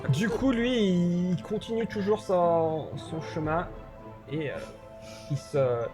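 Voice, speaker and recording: Game master, male, slightly high voice, halting delivery full of restarts, non-native French speaker, faint music bed underneath. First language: not French. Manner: hesitant, many restarts and fillers. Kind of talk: narrating a tabletop game.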